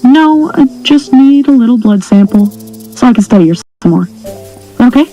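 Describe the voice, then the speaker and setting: A woman playing a steadfast, compassionate rancher and healer in an audio drama, speaking in an earnest, measured way. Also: fairly high pitch, lively intonation, dark tone, soft background music, natural voice, low-quality recording. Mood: consoling, earnest.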